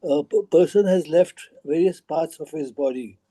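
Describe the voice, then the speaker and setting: A man speaking in a halting, rambling way in an informal discussion. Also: on the high side, mellow. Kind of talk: informal book club discussion.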